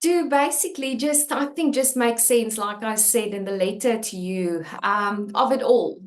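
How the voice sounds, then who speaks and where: A woman's voice: a little high, a little slow. Earnest South African woman, echoey room. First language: Afrikaans. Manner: candid and earnest, many fillers and restarts. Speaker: author and channel host. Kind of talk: personal talk about her book.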